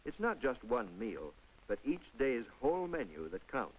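A man's voice: medium pitch, very animated, moderate pace, husky, poor audio. A man narrating a public information film in a scripted, chatty voice-over with folksy asides to the viewer. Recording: old film soundtrack with faint music.

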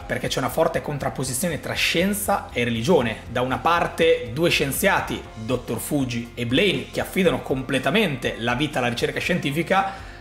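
A man gives an animated, emphatic explainer monologue in a conversational storytelling way. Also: mid-range voice, echoey room. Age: 30s. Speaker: video host and commentator. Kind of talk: enthusiastic explainer monologue to camera. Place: small furnished room at home, indoors.

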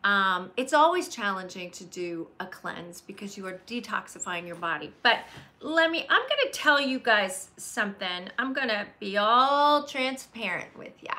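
A woman's voice: mid-range voice, big pitch swings, normal speed, pushed and bright. A woman in her late fifties hosting a casual live chat from home on a webcam, greeting viewers by name and talking candidly. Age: 50s. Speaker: livestream host. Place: home room with leather chair by window. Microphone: webcam at desk.

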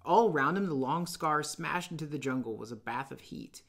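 A man in his thirties teaching and reading aloud in an expressive, emphatic, explanatory way. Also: fairly high pitch, moderate pace, vocal fry. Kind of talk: explanatory lesson and storybook narration. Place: small dim room indoors.

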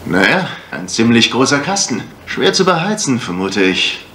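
An actor in a mystery radio play as a pushy gentleman buyer, theatrical and deliberate, with oily affected courtesy. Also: medium pitch, sing-song. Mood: pushy, feigned casualness. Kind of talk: 1980s German mystery radio play acting. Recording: faintly noisy old radio recording.

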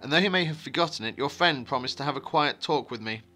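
A secretive wandering man of a fantasy tale, acted out by a male reader. He is calm, wary and commanding, with a brisk, ominous delivery. His mid-pitched voice has a bright, tense edge. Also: faint music behind, close to an everyday voice. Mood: sly, bargaining.

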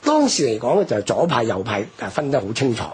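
A man with a Hong Kong Cantonese accent discusses history on radio. His voice is very husky and rough, and his pitch moves animatedly. He explains emphatically, often putting rhetorical questions. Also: huge pitch swings, fast talker, a little mellow.

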